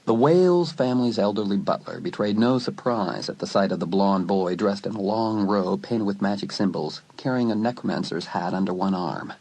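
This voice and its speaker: A man narrating a dramatised teen horror story in an expressive, dramatic storytelling manner. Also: on the low side, normal speed, hardly any pauses, breathy edge, poor audio. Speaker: audiobook narrator.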